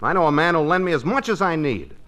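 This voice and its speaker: A brash, wheedling freeloader in 1940s radio comedy. His high, bright voice swings wildly and sounds pushy and indignant. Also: big pitch swings, fast. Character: brash, fast-talking, wheedling freeloader pal.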